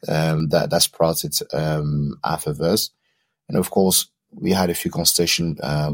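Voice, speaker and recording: A man's voice: rumbling low, breathy edge. A man from Cameroon who is a podcast guest, giving long explanatory answers in an interview. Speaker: podcast guest, founder and CEO.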